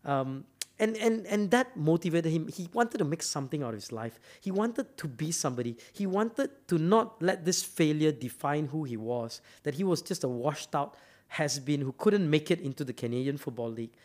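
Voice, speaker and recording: A man's voice: fairly high pitch, expressive, moderate pace, darkish tone. A man from Malaysia giving a motivational talk, emphatic and often repeating words as he builds up to a point. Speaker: speaker.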